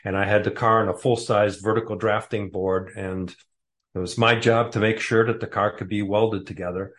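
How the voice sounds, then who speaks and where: A man in his sixties with a lowish voice answers interview questions in a relaxed, casual way. He pauses often and fills gaps with uh and um. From Metro Detroit area, USA. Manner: hesitant, many uh and um fillers. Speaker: guest author.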